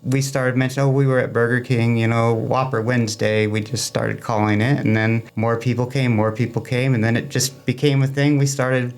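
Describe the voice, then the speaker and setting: A man's voice: mid-range voice, flat delivery, fairly quick, few pauses. A man talking casually as an interview guest for a hobby club, chatty and anecdotal in a rambling way. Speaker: amateur radio club representative, interview guest.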